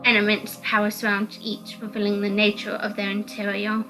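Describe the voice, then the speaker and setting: A woman's voice: medium pitch, monotone, fairly quick, rough recording. A woman reading a visual novel aloud as narrator, in dramatic scripted narration. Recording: music under the voice.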